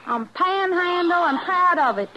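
A woman's voice: extremely high pitch, animated, a little slow, pushed and bright, exaggerated voice. An actress playing a defiant young cowgirl in a radio western, brash and prickly. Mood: prickly, sullen. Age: young adult. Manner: brash, prickly, folksy rustic talk. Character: defiant, feisty young Texas panhandle cowgirl. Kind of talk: 1950s radio western acting. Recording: noisy muffled old radio recording.

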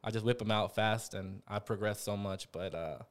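Low-voiced young man from Memphis. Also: fairly even intonation, normal speed, clean tone. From Memphis, USA. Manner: chatty, hesitant with many fillers, laughs often. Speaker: gym member, guest. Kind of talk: casual interview.

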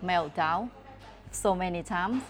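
A woman's voice: fairly low pitch, soft background music. A woman with a Thai accent, not a native English speaker, talking candidly as a guest photographer and often restarting her sentences. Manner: candid, halting, with many restarts and fillers. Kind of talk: casual podcast chat.

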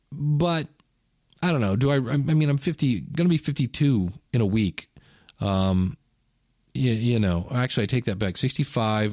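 A man's voice: medium pitch, a little mellow, no room sound, studio quality. A man in his fifties hosting a casual solo podcast, talking in a rambling, chatty way and correcting himself as he goes. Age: 50s. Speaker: podcast host.

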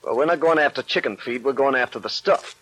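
A man's voice: mid-range voice, fast, a bit bright, husky. An actor playing a cocky, ruthless gangster boss in 1940s radio style, boasting in tough-guy slang. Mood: menacing, boastful. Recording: noisy vintage 1940s radio recording.

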